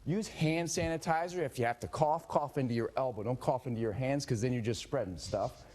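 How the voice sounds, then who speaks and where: A man's voice: mid-range voice, fairly quick, a little mellow. A man anchoring a TV newscast in an emphatic, plain-spoken way. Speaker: news anchor. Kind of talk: broadcast news presentation.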